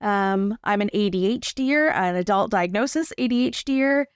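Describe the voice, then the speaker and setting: A woman's voice: mid-range voice, moderate pace, clean tone, dry recording. Candid woman, dry close recording. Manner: candid, wry. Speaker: podcast guest. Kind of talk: conversational personal account.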